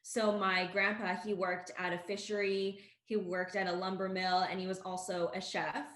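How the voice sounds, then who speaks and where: A young Canadian woman co-hosting a casual chat, telling a family story in an earnest, steady way. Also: medium pitch, moderate pace, reverberant. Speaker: co-host.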